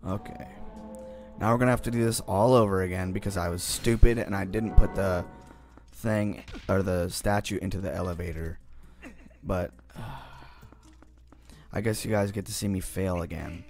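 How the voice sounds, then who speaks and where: Raspy-voiced young man playing a game. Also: deep voice, fairly even intonation, normal speed, a little mellow, faint music behind. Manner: easily frustrated, groaning and complaining at the game. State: raspy early-morning voice. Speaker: gaming channel host. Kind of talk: casual let's-play commentary.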